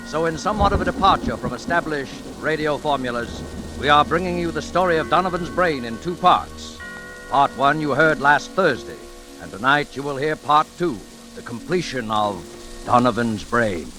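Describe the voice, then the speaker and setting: A man's voice: on the high side, expressive, moderate pace. Formal old-time radio announcer, a man. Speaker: announcer and host of a radio suspense series. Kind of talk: scripted 1940s radio introduction. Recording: old radio recording with music behind.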